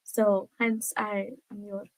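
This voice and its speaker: A woman's voice: mid-range voice, little pitch movement, takes it slowly, no room sound, poor audio. A woman with an Indian accent, a postgraduate student answering questions on an online panel. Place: online video call.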